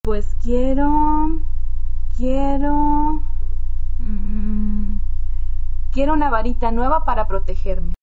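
A woman's voice: on the high side, dark tone, dull audio, soft background music. A woman voicing a shy, timid young female prefect who speaks hesitantly. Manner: hesitant, halting.

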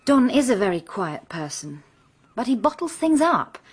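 An anxious young Englishwoman in a radio play. Her English-accented voice is animated and a little husky. She speaks frankly and with emphasis. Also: a little high, moderate pace, heavily acted. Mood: anxious, confiding. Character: frank, anxious young English woman, murdered girl's sister. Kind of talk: radio drama acting.

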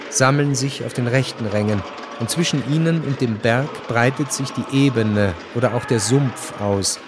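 Guy narrating a historical radio play, dry precise delivery, medium pitch, noisy ambience behind. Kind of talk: scripted literary narration in a radio drama.

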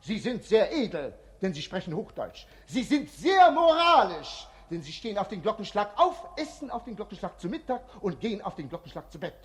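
A mischievous jester in a 1950s radio play. His high, swooping voice delivers mock-grand fairground patter, ringing through a long hall reverb. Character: mischievous, mocking jester announcing like a showman.

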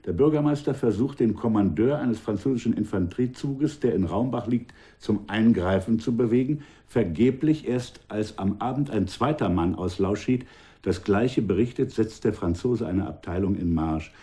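Actor as low, mellow, wry commissioner. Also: male voice, moderate pace, close to an everyday voice. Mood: dry, briefing. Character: wry, confident male commissioner general in revolutionary era. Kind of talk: German radio drama acting.

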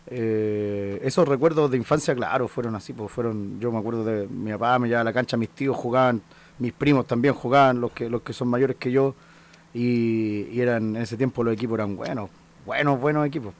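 A man's voice: medium pitch, fairly even intonation, normal speed. A Chilean man in his thirties, a folk singer talking in a casual interview, hesitant and full of restarts. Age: 30s. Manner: hesitant, many restarts and fillers.

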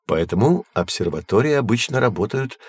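An actor playing a learned, patient astronomy professor who explains things in a measured, didactic way in an educational audio drama. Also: male voice, lowish voice, animated, breathy edge. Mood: patient explaining. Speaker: teacher.

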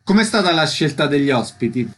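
Higher-voiced male radio interviewer. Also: very animated, a little fast, a bit bright, faint music behind. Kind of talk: scripted radio presenting and brief interview questions.

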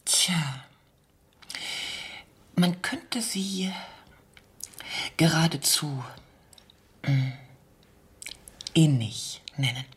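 Coquettish, mocking exotic dancer. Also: female voice, low-pitched, very slow, long pauses. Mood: coy, seductive. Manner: theatrical, coquettish, ironic, drops into breathy murmurs. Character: seductive, mocking exotic dancer accused of espionage. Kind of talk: German radio play acting.

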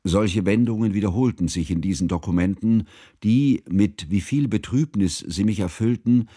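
Earnest learned narrator, literary radio drama, low voice, formal solemn delivery, close dry sound. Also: male voice, normal speed, mellow, breathy edge, no room sound. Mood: solemn, concerned. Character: earnest, scholarly male narrator, devoted old friend.

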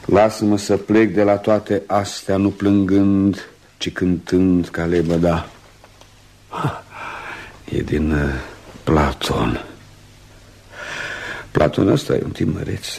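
A humbled, troubled man of science in a radio drama. His voice is very deep and rough-edged. He speaks haltingly, with brooding earnestness. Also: steady tone, darkish tone. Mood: wry, resolved. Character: troubled, humbled male English doctor, once a cold rationalist. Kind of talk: Romanian radio theatre acting.

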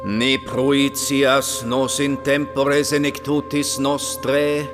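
A male actor as a solemn liturgical chanter in a radio play, deep in long reverberation with music behind. His delivery is slow and monotone, like recited chant. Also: lightly acted.

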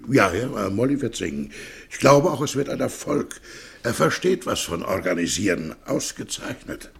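A man acting in a German radio play as a pensive, grieving Dublin ad salesman, murmuring introspectively in a breathy, hesitant way. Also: big pitch swings, moderate pace, a bit bright, heavily acted. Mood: hesitant, polite. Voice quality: low, creaky, husky, breathy. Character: Bloom, pensive grieving Dublin advertising canvasser, quiet outsider.

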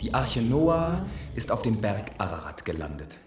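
A man's voice: lowish voice, big pitch swings, normal speed. A man acting an alert, practical space castaway in a German science-fiction radio drama, speaking in short, questioning phrases. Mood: musing. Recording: echoing enclosed space, clear noise.

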